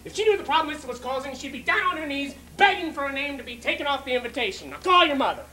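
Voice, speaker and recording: A man voicing a demanding young bride with a fierce temper, sharp and insistent, in a stage monologue. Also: very high voice, lively intonation, fast, slight background noise, heavily acted, rough recording.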